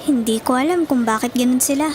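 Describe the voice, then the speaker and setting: A woman playing an anxious but playful young sweetheart in a Filipino audio drama, coy and quick to scold in jest. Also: sits high, a little mellow, a little hiss or hum, close to an everyday voice. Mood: worried. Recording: prominent music under the voice.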